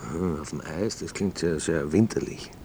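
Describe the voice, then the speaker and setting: An actor playing a glib late-night radio phone-in host with an Austrian accent and smooth, courteous showman patter. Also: rumbling low, moderate pace, mellow, a little hiss or hum. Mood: humouring, prodding. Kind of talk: radio drama acting as talk-show host.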